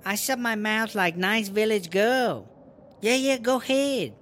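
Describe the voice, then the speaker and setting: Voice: mid-range voice, expressive, measured pace, a bit bright, a little hiss or hum. A performer voicing a prickly foreign village girl who talks in sarcastic, clipped broken English.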